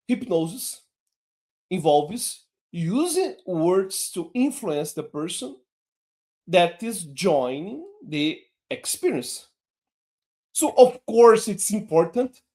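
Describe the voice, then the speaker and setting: Guy teaching a hypnosis lesson in english, takes it real slow, voice swoops up and down. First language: Portuguese. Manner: slow, deliberate delivery with lively pitch swings. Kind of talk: online lesson.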